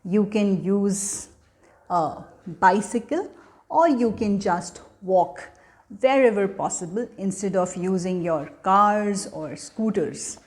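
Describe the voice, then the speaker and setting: A woman giving an educational talk for children, speaking in a deliberate, teacherly manner as a storyteller and host. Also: medium pitch. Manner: teacherly, deliberate, asking questions then answering them.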